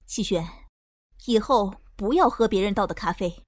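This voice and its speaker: A woman's voice: medium pitch, normal speed, lightly acted. A woman acting as a pop star's protective agent in a radio drama, fussing and scornful. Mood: concerned, annoyed. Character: protective, sharp-tongued female agent of a pop star. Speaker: voice actor.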